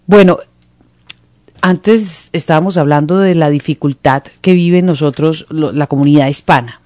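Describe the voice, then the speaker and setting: Chatty host with a mid-pitched voice. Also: a little mellow, dry recording, studio quality. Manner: chatty, dictates phone numbers slowly. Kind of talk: conversational interview hosting.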